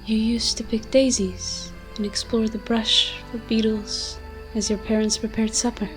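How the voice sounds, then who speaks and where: A woman's voice: on the high side, flat delivery, a little slow, faint music behind, close to an everyday voice, rough recording. A woman voicing an ancient, moss-covered forest demon in an audio roleplay, tender and protective, speaking in solemn, poetic, archaic storytelling phrases. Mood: fond, nostalgic.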